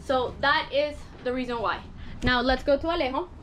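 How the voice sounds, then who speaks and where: A woman's voice: high-pitched, moderate pace, background noise. Chatty woman vlogging on a boat. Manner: chatty, self-doubting, explains with hand gestures. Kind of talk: casual vlog explanation. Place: cramped boat cabin, indoors. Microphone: handheld camera at arm's length.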